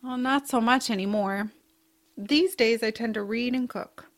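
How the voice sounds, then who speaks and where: Casting: actress for whimsical, kindly aunt in family audiobook drama, gentle and earnest, slightly high voice. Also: female voice, a little slow, lightly acted. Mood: warm, encouraging. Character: kindly, whimsical aunt, bookish home cook.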